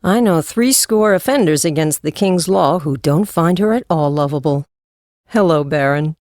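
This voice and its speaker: An actress playing a brisk, wry horse trainer in an audiobook, matter-of-fact in her delivery. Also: sits low, expressive, a little mellow, no echo, clean studio sound. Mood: wry, familiar. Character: brisk, wry woman horse trainer, seasoned and dry-humored. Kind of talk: full-cast audiobook character acting.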